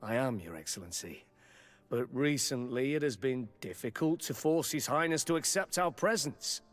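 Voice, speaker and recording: A man acting a loyal palace bodyguard in a game cutscene, with an average-pitched male voice. He sounds deferential and uneasy, halting as he speaks, with faint music behind him. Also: expressive, close to an everyday voice. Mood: uneasy, apologetic. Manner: hesitant, halting, respectful.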